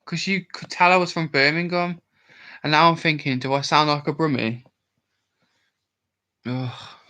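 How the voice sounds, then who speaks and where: Tipsy West Midlands man, bright voice. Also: on the high side, steady tone, unhurried. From Worcestershire, England. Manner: rambling, chatty, answers viewer comments aloud. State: drunk. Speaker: livestream host. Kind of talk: casual livestream chat with viewers.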